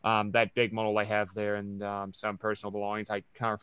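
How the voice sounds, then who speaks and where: A man's voice: lowish voice, completely flat delivery, fairly quick, fairly bright tone, smooth, dry recording, muffled recording. A young man with a New Zealand accent chatting on a video call, friendly and rambling. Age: young adult. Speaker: call participant.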